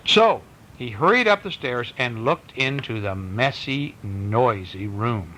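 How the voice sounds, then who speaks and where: A man reading a bedtime story at home in a conversational, expressive way, with casual asides to a child. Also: slow pace. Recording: noisy home recording with low rumble. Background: small child chiming in.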